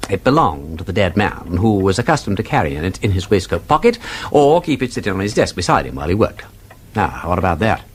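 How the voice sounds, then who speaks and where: Gentleman sleuth, upper-class English accent, very animated intonation, urbane, persistent, theatrical, radio drama. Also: sits low, fairly quick, a little hiss or hum. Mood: keen, persistent. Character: witty, inquisitive gentleman sleuth, playful and persistent. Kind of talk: British radio drama acting.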